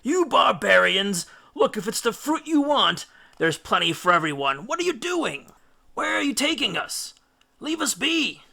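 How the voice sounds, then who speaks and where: A man's voice: high voice, sing-song, measured pace, creaky, pushed and bright, pitched above the performer's own voice, full character voice. A man voicing a band of lotus-addled Greek sailors who shriek and plead desperately in a storybook reading.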